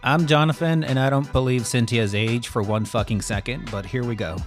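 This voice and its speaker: A man's voice: mid-range voice, steady tone, moderate pace, music in the background. Irreverent man in his thirties. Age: 30s. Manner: irreverent, joking, profane. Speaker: podcast co-host. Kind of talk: casual banter and commentary.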